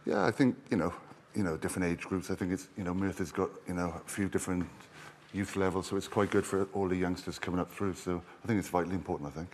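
A very low-pitched man from Wales talks quickly and loosely, filling gaps with plenty of fillers. He sounds like an easygoing rugby player in his thirties, chatting as a TV guest, with faint background noise. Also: steady tone, darkish tone. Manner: easygoing, rambling with many fillers. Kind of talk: casual interview chat.

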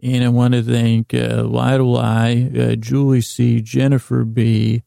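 A middle-aged man with a gravelly, creaky voice, hosting a bedtime sleep podcast in a meandering, halting monologue that trails off into tangents. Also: mid-range voice, level tone, warm tone, no echo, clean studio sound. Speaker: podcast host.

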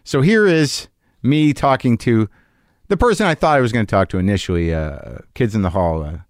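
A man's voice: lowish voice, animated, dry recording, studio quality. Rambling fifty-year-old male podcast host. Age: 50s. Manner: rambling, halting with many restarts. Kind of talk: casual podcast chat and monologue. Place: podcast recording garage.

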